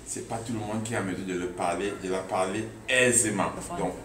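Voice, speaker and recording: A man's voice: low-pitched, fairly even intonation, brisk, a crowd in the background. A man giving a considered answer in an interview. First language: French. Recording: echoey, distant mic, background noise.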